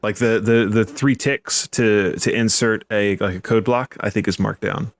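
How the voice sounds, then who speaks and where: A male podcast host with a creaky edge to his voice. He chats casually in an easygoing, jokey manner, and the recording is close and dry. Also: lowish voice, fairly even intonation, no echo. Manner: easygoing, jokey, quick to chuckle. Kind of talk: casual chat.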